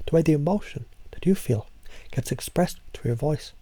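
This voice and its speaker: A man leading a slow, hypnotic voice exercise as a coach, speaking softly and often half-whispering. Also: on the high side, dry recording. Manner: soft, calm, half-whispered delivery.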